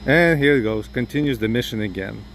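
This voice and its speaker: A man's voice: mid-range voice, fairly quick, fairly bright tone, a little hiss or hum, low-quality recording. A man with a Ukrainian accent, losing his voice, chatting casually in a vlog from outdoors in a parking lot, thinking aloud with lots of fillers. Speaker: drone hobbyist vlogger.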